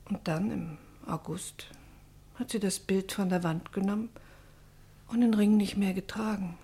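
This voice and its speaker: A woman acting in a German radio drama as a grieving, reproachful middle-aged mother, sorrowful and often hushed toward a whisper. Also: medium pitch, unhurried, natural voice. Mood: hushed grief. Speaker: radio play actor.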